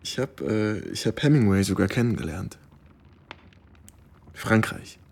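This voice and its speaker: An actor playing a mild, reserved young American writer and war veteran in a radio play, speaking in an understated, hesitant way. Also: male voice, fairly low pitch, expressive, a little slow, gravelly, darkish tone, husky, exaggerated voice. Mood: mildly amused. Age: young adult. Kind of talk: naturalistic radio play dialogue.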